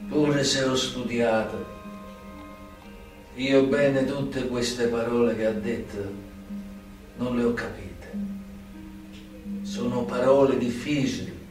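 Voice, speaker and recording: Man acting a slow, weary old repentant mobster. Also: medium pitch, lightly acted. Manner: halting, plain-spoken, grave. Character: old repentant male mobster turned informant, humble, weary. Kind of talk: Italian radio theatre acting. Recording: very echoey, distant, faint music behind.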